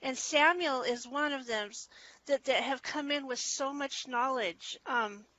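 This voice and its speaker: An earnest woman co-host speaks with a high, sharp, bright voice. She talks in a flowing, enthusiastic way. The sound is dry and dull, like lo-fi video call audio. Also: unhurried, no room sound. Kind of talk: spiritual talk show chat.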